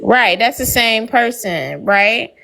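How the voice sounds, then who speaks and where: A woman with a slightly raised pitch, questioning a guest on a live stream panel. She probes point by point in a plain, direct way. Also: expressive, slow pace, gravelly, pushed and bright. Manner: questioning, probing.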